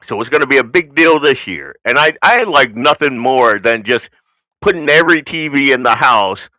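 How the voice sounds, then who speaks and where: Man, American accent flattened from Southern roots, bright forceful voice, dry close sound, opinionated talk show host, folksy, rambling. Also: mid-range voice, normal speed, no room sound, poor audio. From United States. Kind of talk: unscripted political talk show monologue.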